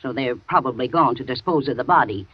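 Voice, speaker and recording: An actress playing a loyal housekeeper in a 1940s radio thriller, talking with a nervous, shaky manner on a dull old broadcast. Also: female voice, a bass voice, a little fast, breathy edge, no room sound. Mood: shaken. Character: frightened loyal housekeeper woman, middle-aged. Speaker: housekeeper and nurse.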